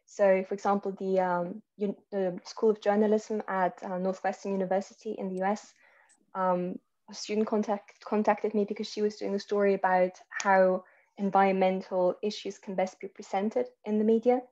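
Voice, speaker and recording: A woman's voice: medium pitch, level tone, dark tone. A woman from Austria, a guest journalist explaining her work in a panel over a video call. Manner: measured, explanatory, many ums. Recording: slightly muffled call audio.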